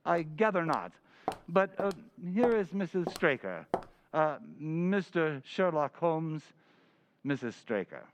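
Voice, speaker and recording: An earnest police inspector in a radio play, acted by a man. His voice is a little high, with formal, methodical delivery and slight hesitation. Faint noise and clicks sit behind him. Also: big pitch swings, takes it slowly. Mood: matter-of-fact. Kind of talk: old-time radio drama acting.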